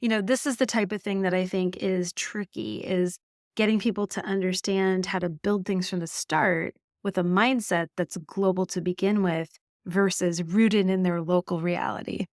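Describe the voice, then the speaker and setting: A middle-aged woman from Canada, a marketing executive telling stories in a podcast interview, animated and laughing often. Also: fairly low pitch, moderate pace, no echo, studio quality.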